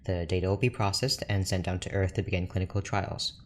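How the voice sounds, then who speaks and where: A man playing a reserved, formal research doctor in an audio drama, speaking in a measured, dry manner. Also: deep voice, steady tone. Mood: measured, clinical. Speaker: scientist character in an audio drama. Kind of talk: scripted audio drama monologue and dialogue. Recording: clear background noise with ambience.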